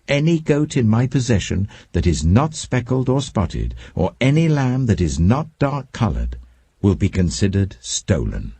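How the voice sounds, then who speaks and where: A man's voice: on the low side, sing-song, measured pace, creaky, dark tone, breathy edge, no room sound, pitched above the performer's own voice, heavily acted. A British man voicing an earnest, firm herdsman in a scripture story, pleading his case emphatically. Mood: firm, persuasive.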